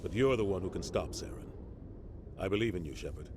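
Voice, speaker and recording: A grim veteran soldier. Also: male voice, low voice, animated. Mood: tense. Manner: measured, grim debriefing tone. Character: bitter veteran male human soldier captain. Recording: game cutscene with ambient noise.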